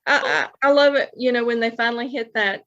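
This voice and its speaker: A woman's voice: fairly high pitch, a little fast, a bit bright. Woman guest on dull lo-fi audio. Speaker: novelist, interview guest. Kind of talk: casual chat.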